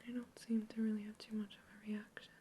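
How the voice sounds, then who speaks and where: A woman voicing a curious female alien scientist who is examining a captive human. She whispers softly throughout the ASMR roleplay and asks clinical, matter-of-fact questions. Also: medium pitch, level tone, fast talker, a little hiss or hum, lightly acted. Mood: calm curious. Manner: soft unvoiced whispering throughout.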